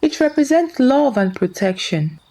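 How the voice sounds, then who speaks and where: A woman's voice: high voice, very animated, unhurried, mellow, exaggerated voice. A woman voicing an earnest, respectful young village boy in a folktale.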